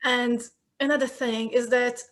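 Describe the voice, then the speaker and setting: A woman speaks as a guest in a casual conversation, her voice sitting somewhat high. She is articulate and emphatic and works through her points aloud. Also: level tone, a little slow, a bit bright. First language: Hungarian.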